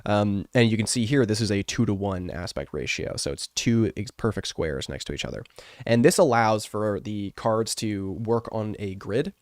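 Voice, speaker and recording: A man with a fairly low voice, made rough and congested by a cold he is getting over. He talks to his stream in a loose, rambling way, full of fillers. The sound is dry and close, with no room around it. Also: expressive, moderate pace, no room sound. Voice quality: rough, wrecked voice. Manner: rambling and chatty, with many fillers. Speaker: artist and streamer. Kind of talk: casual live-stream chat.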